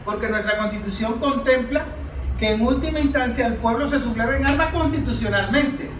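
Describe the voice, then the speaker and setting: A man's voice: very high voice, brisk. A Venezuelan man speaking as a political analyst in an informal panel discussion, emphatic and argumentative, often posing rhetorical questions. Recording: roomy, distant mic with faint noise.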